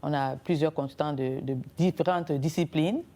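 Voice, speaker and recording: Interview guest, woman from Cameroon, lowish mellow voice, thoughtful delivery with pauses. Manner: thoughtful, with frequent hesitations and rhetorical questions. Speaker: guest, entrepreneur and author. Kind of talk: conversational interview.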